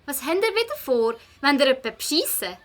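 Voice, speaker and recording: A woman's voice: sits high, big pitch swings, a little fast. A woman playing an earnest, outspoken young schoolgirl in a children's radio play, with a Swiss German accent. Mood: suspicious.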